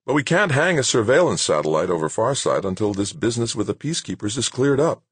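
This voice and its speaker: A man plays a woman in charge of a base in a novel. She is brisk, good-natured and firm, speaking in a lifted, lively voice with a dry, close sound. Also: mid-range voice, slightly rough, no room sound, pitched above the performer's own voice. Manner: plain-spoken, patient but firm. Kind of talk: acted audiobook dialogue.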